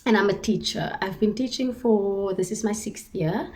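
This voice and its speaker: A woman's voice: medium pitch, normal speed, darkish tone, a little hiss or hum. Chatty woman in her twenties from Cape Town, Cape Town South African accent, Afrikaans first language, casual talk to camera at home, self-mocking, laughs often. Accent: Cape Town South African English. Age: 20s. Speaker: vlogger, primary school teacher.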